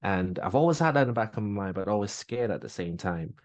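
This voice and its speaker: A man's voice: fairly low pitch, lively intonation, fast talker, darkish tone, no echo, lo-fi sound. A man, a veteran guest in an interview, speaking candidly and reflectively with many fillers and restarts. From Caribbean, grew up in Grenada. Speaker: podcast guest, military veteran. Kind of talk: casual interview chat.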